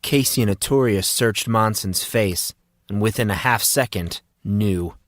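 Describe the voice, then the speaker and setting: A man's voice: lowish voice, measured pace, dry recording, clean studio sound. A man reading a story aloud as a scripted, dramatized audiobook narration.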